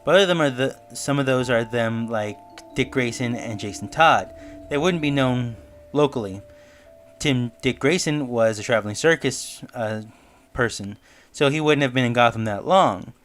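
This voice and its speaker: A man's voice: medium pitch, moderate pace, a bit bright. A man giving explanatory, musing commentary in the style of a semi-scripted video essay review. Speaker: online reviewer and theorist. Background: background music.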